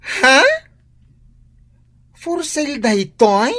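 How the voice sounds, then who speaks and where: An actor voicing an excitable, gossipy neighbour in a radio drama, with an Ilocano accent and exaggerated exclamations. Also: sits high, drawn-out words, heavily acted. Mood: animated.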